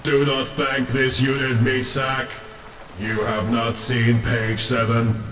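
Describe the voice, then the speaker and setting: Man playing a pompous combat robot, roomy and even. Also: mid-range voice, slow pace, far from the mic, slight background noise. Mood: stern. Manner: stilted, grandiose, refers to itself as unit. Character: pompous literal-minded male combat robot, stealth agent. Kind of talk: scripted comedic audio drama.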